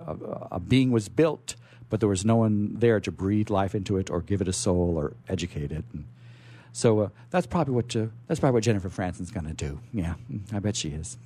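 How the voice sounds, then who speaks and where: A man hosting a radio show, chatting and reading aloud in a rambling, halting way, often repeating words. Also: lowish voice, warm tone, breathy edge. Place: radio studio. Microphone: studio microphone.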